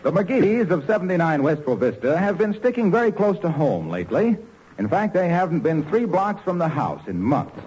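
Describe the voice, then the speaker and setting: A man's voice: a little high, very animated, normal speed, darkish tone. A man working as a 1940s radio announcer, reading scripted copy with polished, cheerful salesmanship. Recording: dull, faintly noisy old radio recording.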